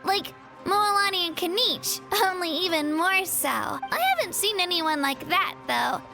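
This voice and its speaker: A woman's voice: very high voice, measured pace, gravelly, heavily acted. A woman voicing an eager, modest, earnest young girl competitor in an anime-style game. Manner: bright-spirited, earnest. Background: loud game music.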